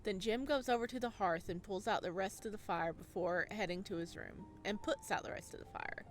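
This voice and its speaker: A playful, expressive woman streamer reading a visual novel aloud and adding her own reactions. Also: medium pitch, a little fast, soft background music. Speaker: video game streamer.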